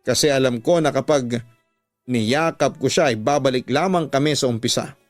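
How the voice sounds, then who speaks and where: A man's voice: medium pitch, normal speed, no echo. A man narrating a love story in the first person, as dramatic scripted radio storytelling. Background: music.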